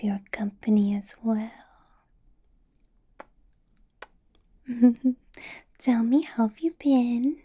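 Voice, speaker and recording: A woman performs a female vampire boss in an ASMR roleplay, whispering in a breathy, hushed voice. She speaks slowly and deliberately, doting on the listener with possessive sweetness. A controlling menace sits under the soft, mellow tone. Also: on the high side. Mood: doting, flattering. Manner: breathy, slow whispering with soft little laughs.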